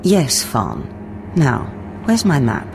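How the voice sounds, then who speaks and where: A woman's voice: very deep voice, wildly swooping pitch, slow pace, gravelly, background noise, full character voice. A woman playing a poised, menacing, condescending Sith lord in a video game, with a theatrical, mock-sweet manner. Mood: cool command. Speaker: villainous mentor.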